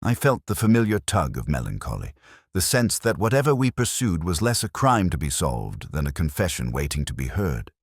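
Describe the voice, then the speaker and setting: A man reading a scripted first-person detective story in a measured, literary and brooding manner. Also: low-pitched, big pitch swings, slightly rough, no echo, studio quality. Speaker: narrator.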